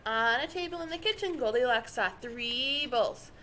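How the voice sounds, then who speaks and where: A woman reading a children's story aloud with expressive, dramatic narration. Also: on the high side, normal speed, a little hiss or hum. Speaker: storybook reader.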